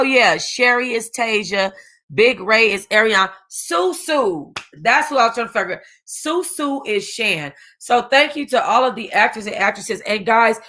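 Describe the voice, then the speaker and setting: A woman with a mid-pitched voice hosts a book club. She chats casually and informally, using slang and thinking out loud as she goes. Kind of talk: casual chat.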